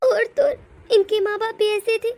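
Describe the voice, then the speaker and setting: A woman's voice: very high voice, steady tone, normal speed, a little mellow. A woman voicing a meek, long-suffering mother-in-law in a cartoon family drama, crying as she speaks. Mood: tearful. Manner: sobbing, resigned.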